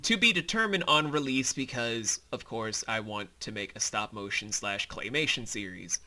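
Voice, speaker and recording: A man's voice: medium pitch, expressive, moderate pace, sharp tone. A man co-hosting a behind-the-scenes commentary as the creator, chatting casually and explaining things in an enthusiastic, rambling way.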